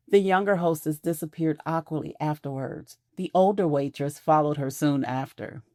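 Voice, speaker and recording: A woman narrating an audio drama in a measured, intimate storytelling manner. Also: sits low, mellow, no room sound, clean studio sound.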